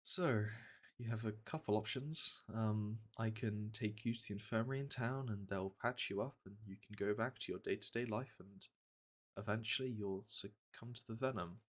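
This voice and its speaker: A man playing a gentle veteran monster hunter in a fantasy ASMR roleplay, speaking softly and reassuringly, with a hesitant, matter-of-fact manner. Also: on the low side, moderate pace. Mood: earnest, gentle. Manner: soft, hesitant, soothing delivery.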